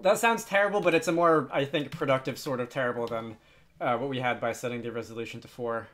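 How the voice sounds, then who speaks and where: Man, medium pitch, tutorial host, casual and unscripted, hesitant, rambling, self-deprecating. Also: fast talker, fairly bright tone. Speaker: game development tutorial host.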